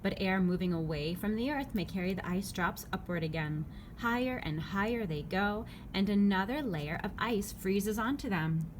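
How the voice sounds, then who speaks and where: Woman, children's read-aloud, lively melodic intonation, medium pitch, clear simple phrasing, noisy background ambience. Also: normal speed, hardly any pauses. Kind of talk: children's picture-book read-aloud, scripted.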